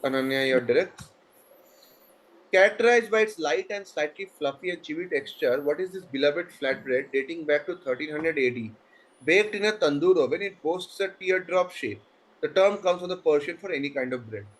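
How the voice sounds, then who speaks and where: A man's voice: fairly high pitch, lively intonation, low-quality recording. A man, reading quiz questions over a video call and chatting with the players between them. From India. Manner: reads questions briskly, then chats loosely with players. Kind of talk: online quiz league, reading clues and chatting.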